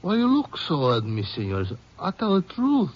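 A man's voice: high-pitched, huge pitch swings, normal speed, heavily acted. An actor playing a shifty, defensive Mexican man in a 1950s radio western, speaking hesitant broken English with a Mexican accent. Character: shifty, sleepy Mexican man protesting innocence nervously. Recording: muffled, lightly noisy 1950s radio recording.

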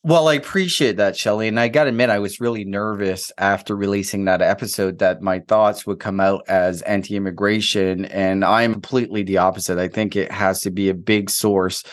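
A man whose first language is French, co-hosting a podcast and talking through hiring topics in a thoughtful, measured way. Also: fairly low pitch, steady tone. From Canada. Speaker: podcast co-host. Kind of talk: casual conversation about recruiting.

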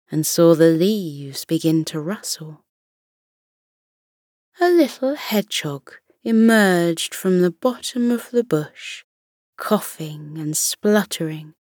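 A woman narrating a children's bedtime story in a slow, soothing style. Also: lowish voice, darkish tone, no echo, studio quality.

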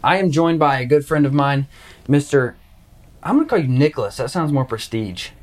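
A man's voice: mid-range voice, animated, a little fast. A young man from Arkansas hosting a hunting podcast in a casual, joking, rambling way. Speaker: podcast host. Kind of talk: casual chat.

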